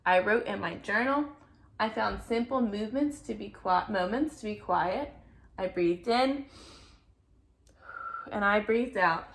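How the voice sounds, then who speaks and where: A woman voicing an earnest, anxious-to-please male egg in an expressive children's picture-book read-aloud. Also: mid-range voice, a little slow, vocal fry, long pauses. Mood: reflective. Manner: expressive storybook reading with playful emphasis.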